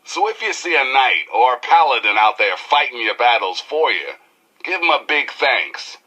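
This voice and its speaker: A man's voice: medium pitch, very animated, moderate pace, sharp tone, breathy edge, full character voice, rough recording. A man playing a brash, cheeky, streetwise rebel radio DJ with an American accent and theatrical patter. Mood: wry, mock-serious.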